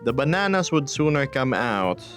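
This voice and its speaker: A man hosting a casual live stream, reading viewer messages aloud in a playful, laid-back way with hushed asides and upbeat bursts. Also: medium pitch, big pitch swings, normal speed, faint music behind.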